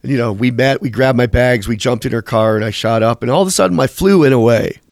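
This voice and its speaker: Man, musician interviewee, candid storytelling, casual swearing, average pitch, dead dry sound. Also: fast talker, gravelly, dry recording. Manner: blunt, rambling, swears casually.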